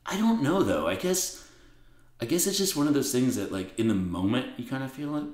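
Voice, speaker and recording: A man with a raspy voice, a podcast guest talking bluntly and swearing freely in casual conversation. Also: medium pitch, big pitch swings, brisk, darkish tone. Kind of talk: casual interview chat. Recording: roomy echo.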